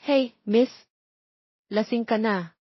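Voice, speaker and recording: A female narrator plays a cool, bossy young man in a romance audiobook. He is commanding and teasing and drops into English, in a slightly husky voice on a somewhat dull recording. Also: fairly high pitch, takes it slowly, no echo. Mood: scolding, teasing.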